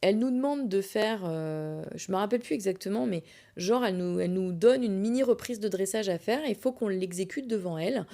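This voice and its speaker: A woman hosting a podcast, telling a personal story in a casual, colloquial, animated and self-deprecating way. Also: mid-range voice, fairly quick. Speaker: podcast host.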